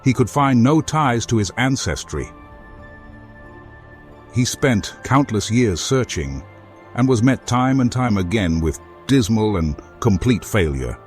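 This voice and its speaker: A man playing a courteous, earnest former foe in a fantasy game, speaking formally and deliberately as he offers help. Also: on the low side, very animated, soft background music. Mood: earnest, storytelling. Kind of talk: video game character dialogue.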